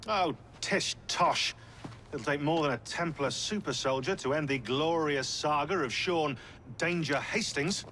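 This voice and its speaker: An English actor playing a wry, cocky modern-day operative in a video game, with a flippant, self-dramatising manner. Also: male voice, fairly high pitch, measured pace, bright, forceful tone, a little hiss or hum.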